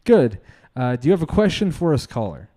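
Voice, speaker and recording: A man co-hosting a call-in show in a conversational, polite, halting way. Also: mid-range voice, very animated, mellow.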